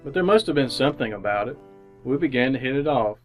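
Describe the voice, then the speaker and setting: A man's voice: mid-range voice, lively intonation, fast talker, faint music behind, close to an everyday voice. A man acting in an old-time style radio drama as a bashful, folksy young married man who stammers and hesitates. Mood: wry reminiscing.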